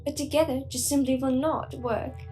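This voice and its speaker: A woman's voice: high voice, steady tone, normal speed, dark tone, faint music behind, natural voice. A teenage girl playing a polite but menacing alien in human guise in a radio play. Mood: polite menace.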